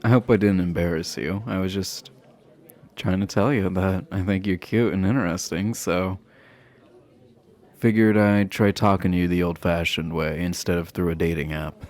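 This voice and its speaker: Man playing a deep-voiced flirty himbo jock. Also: fairly even intonation, darkish tone, close to an everyday voice. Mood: flirty, earnest. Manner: halting, bashful chuckling, teasing. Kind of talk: romantic roleplay audio acting, addressed to listener.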